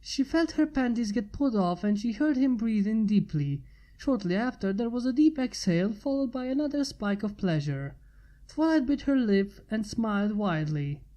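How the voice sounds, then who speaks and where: A woman's voice: fairly high pitch, lively intonation, moderate pace, mellow. A woman reading fan fiction aloud as a narrator.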